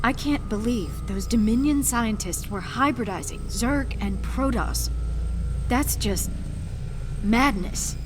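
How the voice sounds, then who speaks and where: A woman's voice: on the high side, expressive, slow, background noise. A woman voicing an earnest, compassionate scientist in a video game, speaking in a concerned, articulate way. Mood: appalled. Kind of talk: video game cutscene dialogue. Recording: music behind the voice.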